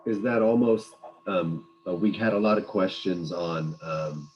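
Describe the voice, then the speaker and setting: A man with a fairly low voice moderates an online session, quickly reading out audience questions. A faint hum sits under his voice, like a home setup on a video call. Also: a little mellow, highs cut off. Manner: quick, reads questions aloud. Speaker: webinar moderator.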